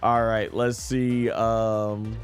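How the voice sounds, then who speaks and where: A man's voice: on the low side, level tone, drawn-out words. A man streaming a video game, giving casual, rambling, enthusiastic fan commentary as he plays. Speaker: gaming streamer. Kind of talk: casual live gameplay commentary. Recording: game music behind the voice. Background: video game music.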